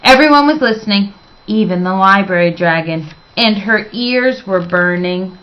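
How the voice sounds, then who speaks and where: A woman reading a children's storybook aloud as expressive narration, with dramatic, emphatic phrasing. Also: medium pitch, moderate pace, lo-fi sound.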